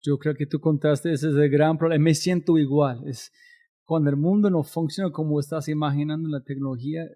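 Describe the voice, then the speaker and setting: A man's voice: fairly high pitch, steady tone, brisk, warm tone. A man hosting a casual interview, asking long, rambling questions in a friendly way. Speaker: interview host.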